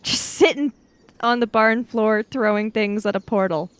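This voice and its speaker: A woman with a high voice plays a tabletop role-playing game. She improvises casually, talking in character and describing what her character does, pausing to think as she goes. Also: clear, resonant tone, no echo.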